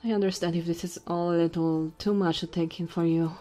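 A woman voicing a tipsy, flirty goblin innkeeper in an intimate ASMR roleplay, soft and teasing with hesitant pauses. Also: lowish voice, steady tone, faint music behind, close to an everyday voice. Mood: apologetic, sheepish.

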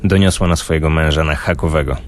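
A man speaking as a true-crime expert in a radio discussion, explaining cases in a measured, storytelling way. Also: very deep voice, steady tone.

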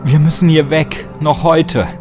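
A man's voice: fairly high pitch, steady tone, unhurried, pitched above the performer's own voice, natural voice. A man voicing an anguished, hot-tempered young man in a dramatic horror audiobook. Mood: furious, desperate. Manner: emotional, dramatic. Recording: music behind the voice.

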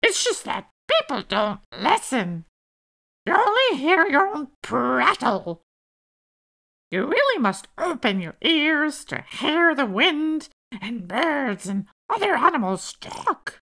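A woman's voice: high voice, sing-song, takes it slowly, bright, forceful tone, pitched above the performer's own voice, exaggerated voice. A woman voicing a screechy, chatty blue jay in a children's story, with music in the recording. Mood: bossy, screechy.

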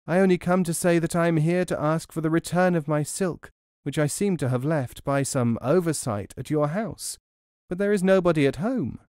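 A man's voice: fairly high pitch, normal speed, darkish tone, smooth, no room sound, clean studio sound, voice pushed up, natural voice. A man voicing a cunning old crone with a screechy, wheedling voice. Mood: innocent.

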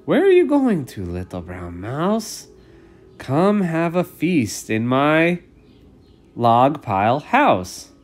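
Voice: mid-range voice, wildly swooping pitch, takes it slowly, soft background music, exaggerated voice. A storyteller voicing a sly but easily frightened male snake from a children's tale. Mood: coaxing.

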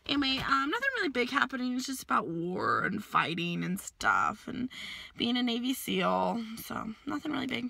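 A young woman in her twenties talks into a phone held at arm's length from the driver's seat. Her voice is bright with a heavy gravelly fry, and her pitch swings widely while she rambles with plenty of fillers. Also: very animated, moderate pace, no room sound. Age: 20s. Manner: chatty, rambling with many fillers. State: hoarse, losing her voice. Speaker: vlogger. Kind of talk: casual vlog chat. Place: inside a parked car.

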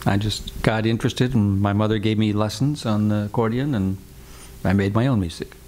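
Older male guest, low voice, wry relaxed storyteller, faint room noise. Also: moderate pace. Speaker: guest musician. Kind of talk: conversational storytelling. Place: living room, indoors.

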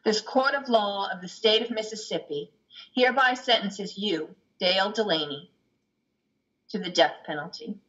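A woman's voice: mid-range voice, normal speed, fairly bright tone, close to an everyday voice. A woman playing a stern, commanding judge in a stage play reading, giving imperious, emphatic orders. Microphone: video call. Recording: dull video-call audio.

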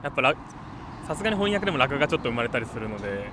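Quick, chatty young man. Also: mid-range voice, sing-song. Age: 20s. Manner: casual, chatty. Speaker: interviewee. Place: outdoors by a campus gate. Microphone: handheld mic. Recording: outdoor street noise.

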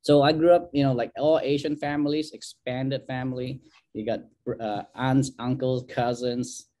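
A middle-aged man from Malaysia talks through slides on a video call from his home office. His voice sits at an ordinary middle pitch. He chats in a loose, joking way and laughs often. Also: little pitch movement, normal speed, dark tone. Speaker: guest speaker, university dean. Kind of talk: casual, self-deprecating presentation.

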